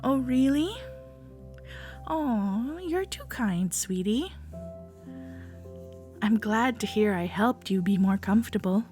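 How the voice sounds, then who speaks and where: A woman playing an affectionate, gently teasing cuddler in ASMR roleplay audio, soft-spoken and often slipping into a breathy whisper. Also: fairly high pitch, animated, slow, pauses often. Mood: flattered, playful. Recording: soft cafe jazz music behind. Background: soft background music.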